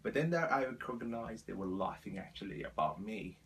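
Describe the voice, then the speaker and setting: A man in his twenties from Brazil with a Brazilian Portuguese accent, speaking halting second-language English as he tells his life story to the camera with many fillers. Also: lowish voice, expressive, a bit bright, slight background noise. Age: 20s. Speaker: vlogger.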